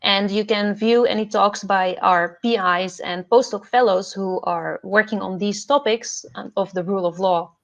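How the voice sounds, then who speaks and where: A woman's voice: medium pitch, fairly even intonation, muffled recording. Earnest young woman on a video call. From Netherlands. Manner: earnest, many fillers, measured. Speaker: podcast host and PhD fellow, guest speaker. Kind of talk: online talk about her career path.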